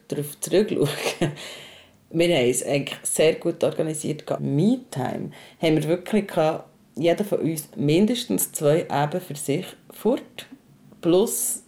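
A woman's voice: low-pitched, dark tone. A young woman hosting a podcast in a casual, chatty style. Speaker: podcast host.

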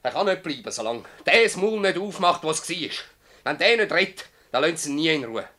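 A man's voice: a little high, animated, fairly quick. An actor playing an anguished, accusing village man in a radio drama, intense and brooding, with a Swiss German accent. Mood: outraged, accusing. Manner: intense, brooding, swinging between hushed and loud.

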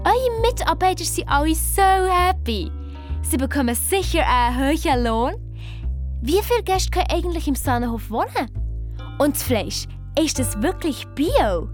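A gushing English lady hotel guest, put on by a woman storyteller in a children's radio play. The voice is very high, sweet and effusive, always prying politely. Faint music sits behind it. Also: lively intonation, moderate pace. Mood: nosy, sweet.

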